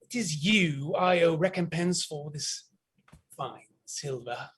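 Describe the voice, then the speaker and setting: A man's voice: fairly high pitch, slow, a bit bright, breathy edge, heavily acted. A man playing a coldly courteous, menacing military captain in a stage play reading, pompous and mock-polite. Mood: quiet menace.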